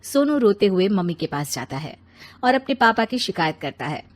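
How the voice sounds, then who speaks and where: A woman's voice: mid-range voice, very animated, moderate pace. A woman narrating a scripted children's moral story.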